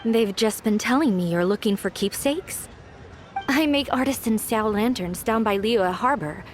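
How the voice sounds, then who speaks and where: A woman's voice: medium pitch, normal speed, soft background music, lightly acted. A woman voicing a polite, practical young lantern artisan in a game. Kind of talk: game voice acting.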